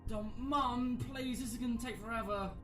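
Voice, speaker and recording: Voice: medium pitch, steady tone, moderate pace, a bit bright, distant mic, soft background music, natural voice. An actor in a radio drama playing God's reluctant child, casual and mildly plaintive. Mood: reluctant, pleading. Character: God's hesitant grown child, mildly exasperated helper.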